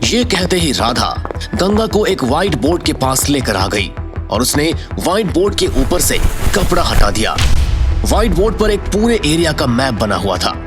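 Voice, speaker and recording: Guy narrating an audio drama, swingy expressive delivery, music playing behind. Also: a little high, normal speed.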